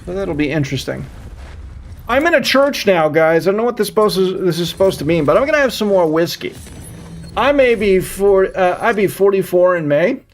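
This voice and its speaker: A man's voice: high-pitched, expressive, brisk. A man in his thirties chatting casually as a live streamer, rambling and often repeating his phrases. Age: 30s. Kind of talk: casual chat with viewers while gaming.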